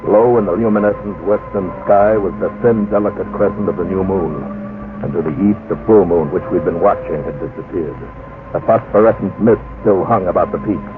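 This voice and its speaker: An actor playing a weary, sardonic adventurer who narrates an expedition in an old-time radio drama, with a dry delivery. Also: male voice, sits low, fairly quick, natural voice. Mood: grave, suspenseful. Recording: muffled old radio recording, music behind.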